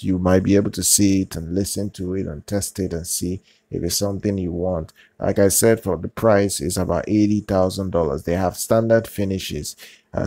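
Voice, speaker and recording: A man with a very deep, gravelly voice and a dark, mellow tone talks through product news on his channel. He speaks in a halting way, stumbling over restarts and repeating himself, while his pitch stays flat. The sound is close and dry. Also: no room sound. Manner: halting, with many fillers, restarts and repeated phrases. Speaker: channel host. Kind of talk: casual product news talk.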